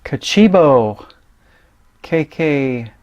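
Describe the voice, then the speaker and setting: A man giving a casual product demonstration as a reviewer, halting and thinking aloud amid static. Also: fairly high pitch, expressive, a little mellow, no room sound, lo-fi sound. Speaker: radio reviewer and host. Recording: clear radio static and noise.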